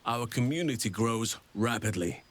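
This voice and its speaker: An actor playing a gentle, wise, warm-hearted druid in a fantasy video game, speaking in formal, courtly, unhurried phrases. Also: male voice, mid-range voice, animated, lightly acted. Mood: calm, proud. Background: music.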